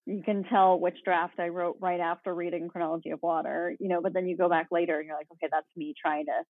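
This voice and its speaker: A woman's voice: lowish voice, level tone, fast, no room sound. A woman, a writer, chatting in an interview and thinking aloud conversationally. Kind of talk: casual interview talk. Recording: narrow, phone-like call audio, dry.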